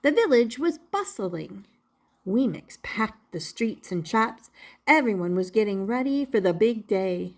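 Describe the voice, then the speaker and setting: Children's story narrator, older female: unhurried, deliberate, very expressive pitch movement. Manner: deliberate, repeats phrases for young listeners. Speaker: storybook reader.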